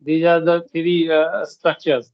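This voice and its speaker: Halting Hindi-speaking man, dull dry sound. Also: fairly high pitch, little pitch movement, dry recording. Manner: halting, many fillers and restarts. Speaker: guest English learner. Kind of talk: conversational practice chat.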